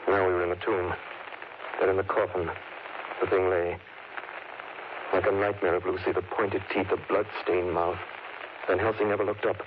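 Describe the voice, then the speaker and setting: An actor playing a sombre, shaken doctor with a dramatic, clipped delivery, in the manner of 1930s radio horror drama. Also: male voice, low voice, little pitch movement, moderate pace, long pauses, close to an everyday voice. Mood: grim, horrified. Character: sombre, shaken male doctor narrating his horror diary. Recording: tinny, noisy old radio broadcast recording.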